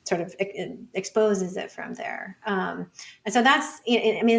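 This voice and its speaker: A woman speaking as an interview guest, thinking aloud in an informal, halting and discursive way. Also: mid-range voice. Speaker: podcast guest, data and feminism scholar.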